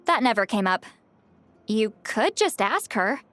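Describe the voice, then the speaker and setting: An actress voicing a proud former commander who is guarded, wry and quick to get flustered, in video game dialogue. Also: female voice, a little high, sing-song, fairly bright tone, no echo. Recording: game audio over faint music.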